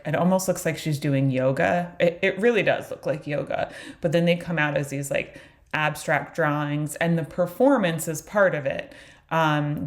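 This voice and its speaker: Low-voiced art teacher with a New York-area accent. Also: female voice. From New York area, USA. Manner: chatty, many fillers and "like"s, apologetic asides. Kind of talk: casual online course lecture.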